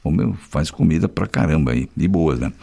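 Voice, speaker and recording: A radio news host, reading local stories and breaking off into folksy, chatty asides. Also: male voice, a bass voice, animated, brisk, creaky, dark tone, breathy edge. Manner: chatty, folksy commentary between read news items.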